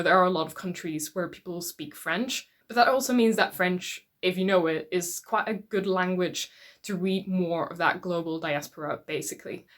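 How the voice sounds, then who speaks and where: A woman's voice: lowish voice, fairly bright tone. Chatty woman, native Dutch speaker. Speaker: book YouTuber. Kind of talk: casual talk to camera about reading.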